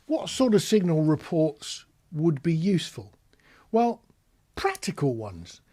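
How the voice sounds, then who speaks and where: A British man gives an informal hands-on lesson in a plain-spoken, explanatory way. His voice sits fairly high and is lively in pitch, with a husky grain. He talks like an instructor who illustrates points with anecdotes. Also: slow. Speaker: marine radio instructor. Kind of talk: informal spoken tutorial with practical demonstrations.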